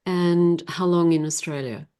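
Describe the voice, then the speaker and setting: A woman with a low, warm, mellow voice hosts a webinar over a video call. She asks short, polite questions. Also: steady tone, a little slow, smooth. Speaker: webinar host. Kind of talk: interviewing a guest.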